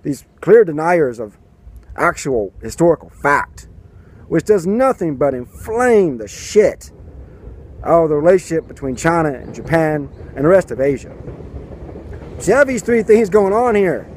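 Sarcastic guy ranting at his phone cam outside, slightly high voice. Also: very animated, normal speed, a little mellow, a little hiss or hum. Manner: sarcastic, mocking, puts on silly voices for quotes. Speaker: vlogger commenting on politics. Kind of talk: casual political commentary to camera. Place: outdoors by a garden and building. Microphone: camera at arm's length.

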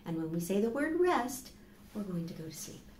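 A middle-aged woman hosting a children's storytime, speaking slowly and deliberately to young listeners as she reads and asks questions. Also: mid-range voice, sing-song, a little mellow. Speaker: library storytime host. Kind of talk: children's storytime, reading picture books aloud. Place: small plain indoor room. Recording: echoey room with faint background noise.

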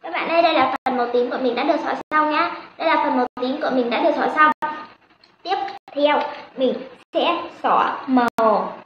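Young woman doing casual craft tutorial, really high voice, echoey muffled room sound w noise. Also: fairly quick. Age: young adult. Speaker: craft vlogger. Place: small indoor room with foam wall panels. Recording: dull, roomy sound with clear background noise.

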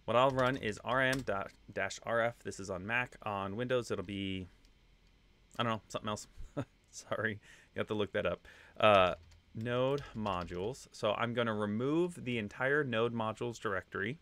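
A man's voice: lowish voice, normal speed. A man in his thirties with a slight Texan accent, casually explaining code to viewers on a screen-share, with loose fillers and asides. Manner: relaxed, conversational explaining with fillers. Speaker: web testing instructor. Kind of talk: screen-share coding tutorial.

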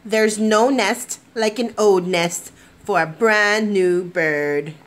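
A woman voicing a gentle, contented mother bird in a storybook, higher than her own voice. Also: takes it slowly, natural voice.

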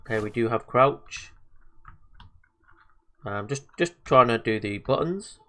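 A man's voice: mid-range voice, animated, slow, fairly bright tone. Chatty man, bit bunged up. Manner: chatty, hesitant, lots of fillers and self-talk. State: slightly bunged up from a cold. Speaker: gaming video host. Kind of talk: casual let's-play commentary.

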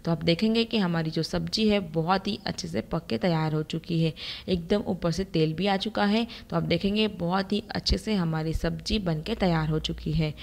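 A woman with a slightly low voice presents a recipe as a voice-over. She explains each step in an instructional manner, speaking straight to the viewer as a cooking channel host. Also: moderate pace, creaky, darkish tone. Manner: instructional, addresses viewers directly.

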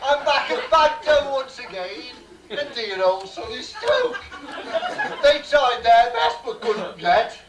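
A man playing an old has-been comic, reciting rhyming gags slowly on a small stage. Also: extremely high pitch, very animated, a crowd in the background, full character voice. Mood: chirpy, rueful. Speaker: amateur pantomime performer. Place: small theatre bar stage, indoors. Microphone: far camera. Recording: distant echoey noisy bar with audience.

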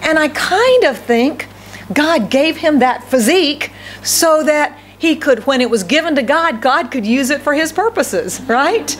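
A middle-aged woman with an American accent preaches from a podium microphone in a church hall. Her loud, fairly high voice is emphatic, and she repeats key phrases and puts questions to the congregation. A faint room hum sits under her. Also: expressive, a little slow. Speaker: pastor. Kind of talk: sermon.

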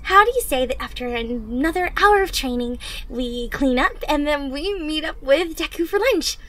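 A young woman playing a caring, encouraging teenage superhero in training, speaking gently and earnestly in an anime-inspired ASMR roleplay. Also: high voice, expressive, normal speed. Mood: upbeat, encouraging. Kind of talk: ASMR roleplay, acted monologue to listener. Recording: noisy ambience with birdsong. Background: birdsong, outdoor ambience.

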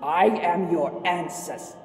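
A woman voicing a bossy, fierce female warrior ancestor spirit who scolds and shouts in a fan radio play. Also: on the low side, slow, soft background music. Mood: furious. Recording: echoey room with long reverb.

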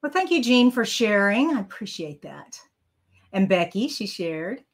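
A woman's voice: mid-range voice, sing-song, measured pace. An older woman hosting a live card-making tutorial, chatting as she works and reading viewer comments aloud. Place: craft room at a desk.

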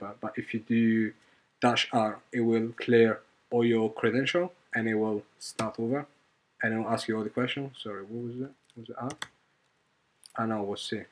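A man's voice: lowish voice, darkish tone. Man walking through a coding tutorial. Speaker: software tutorial presenter. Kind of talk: informal screen-recorded coding tutorial.

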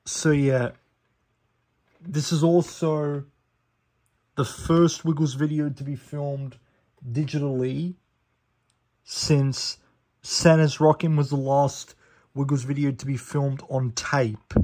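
A young man talks through a DVD review in a casual, chatty way, rattling off facts with fillers and quick self-corrections. His voice sits a little on the high side. It sounds like a phone recording in a small living room. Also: flat delivery, darkish tone, low-quality recording. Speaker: DVD collector reviewing a disc. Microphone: phone camera held over the disc.

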